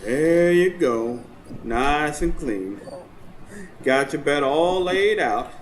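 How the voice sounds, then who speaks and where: A man's voice: fairly high pitch, lively intonation, extremely slow. An actor playing a rustic, kindly neighbor devoted to his old hound, with folksy colloquial talk, in a radio drama recorded live on stage. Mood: tender, weary. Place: stage before a live studio audience. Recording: roomy live stage recording, background noise.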